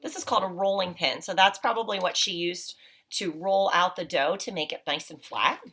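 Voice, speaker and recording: A woman's voice: lowish voice, moderate pace, sharp tone, husky. A woman teacher leading a read-aloud picture walk for children, explaining simply and asking frequent, encouraging questions.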